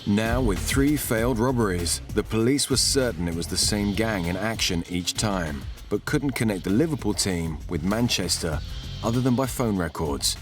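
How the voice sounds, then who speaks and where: Male narrator, low register, scripted documentary read, measured dramatic storytelling, clear music bed. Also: lively intonation.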